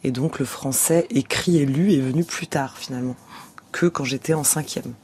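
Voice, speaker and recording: A woman's voice: a bass voice, moderate pace, mellow, slight background noise. A young actress talking thoughtfully in a radio studio interview, searching for her words as she goes.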